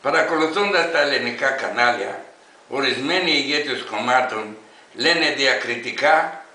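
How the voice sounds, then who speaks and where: A man in his eighties from Greece reading an open letter aloud in an emphatic, declamatory way, with deliberate phrasing. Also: medium pitch, expressive, pushed and bright, roomy sound, low-quality recording. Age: 80s.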